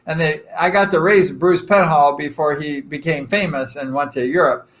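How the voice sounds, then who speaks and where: A man around sixty from Southern California who hosts a relaxed live chat, telling long wandering stories full of "uh" and "like." He jokes at his own expense in a friendly way. His speaking voice sits a little higher than most men's. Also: moderate pace. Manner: rambling and chatty, self-deprecating joking, frequent fillers. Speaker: live-stream host. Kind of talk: casual live chat with viewers.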